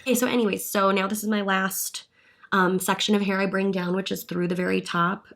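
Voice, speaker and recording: A woman's voice: mid-range voice, little pitch movement, fairly quick, creaky, fairly bright tone. A young woman vlogger chatting casually through a hair and makeup tutorial, a little congested from a cold. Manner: chatty, upbeat, thinking aloud while working. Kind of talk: casual get-ready-with-me tutorial.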